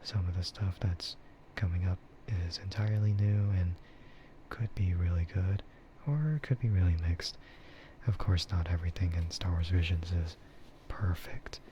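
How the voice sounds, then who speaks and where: Male ASMR streamer: deep, slow, breathy half-whisper, laid-back rambling, slightly muffled recording with faint noise. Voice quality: soft, breathy, often slipping into a whisper. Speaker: streamer and VTuber host. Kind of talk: ASMR casual chat.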